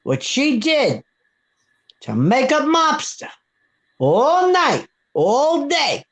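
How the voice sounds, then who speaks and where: A woman's voice: sits high, wildly swooping pitch, extremely slow. A woman in her seventies from Brooklyn with a Brooklyn accent, talking bluntly and heatedly as a guest on a live stream. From Brooklyn, New York. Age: 70s. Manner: blunt, heated, repeats herself. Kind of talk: casual chat.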